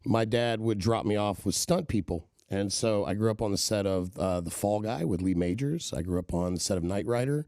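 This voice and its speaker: A man's voice: fairly low pitch, a little fast, hardly any pauses, darkish tone, no room sound, studio quality. A middle-aged man telling long, rambling stories as a podcast guest, with many "right?" tags. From Kenya and the southern US. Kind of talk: casual storytelling interview.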